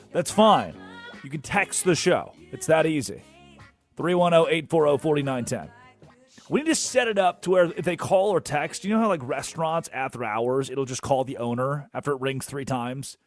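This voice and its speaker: A young man hosting a sports radio show, animated and jokey, rambling through asides in a studio. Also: a little high. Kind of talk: energetic sports talk radio. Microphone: studio microphone.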